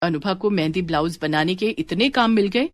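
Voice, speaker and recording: A woman's voice: fairly low pitch, a little fast, no echo. A woman storyteller reading a scripted story as narration.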